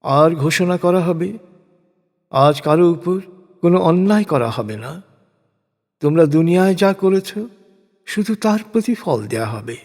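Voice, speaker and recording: A man narrating translated scripture in a solemn, measured, deliberate way, reading from a script. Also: a little high, animated, darkish tone. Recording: long reverberant hall echo.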